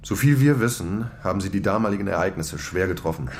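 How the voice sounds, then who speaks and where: An actor playing a cool German security official in a radio play, formal and lecturing. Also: male voice, deep voice, lively intonation, fast, creaky, slight background noise. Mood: measured.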